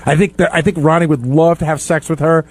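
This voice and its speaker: A man's voice: on the high side, fairly even intonation, fast talker, a little mellow. A man in his late forties chatting frankly on a radio panel, probing and opinionated. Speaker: radio show panel host. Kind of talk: casual panel chat.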